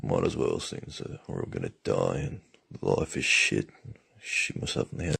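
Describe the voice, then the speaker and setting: A man voicing a bleak, crude, gloomy character with a gravelly, husky voice. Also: rumbling low, sing-song, normal speed, pushed and bright, no room sound, exaggerated voice. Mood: gloomy, bitter.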